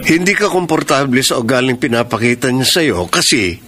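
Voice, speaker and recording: An actor playing a blunt, teasing young best friend in a Filipino radio drama. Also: male voice, mid-range voice, lively intonation, a little fast, fairly bright tone, a little hiss or hum, close to an everyday voice. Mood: teasing, frank.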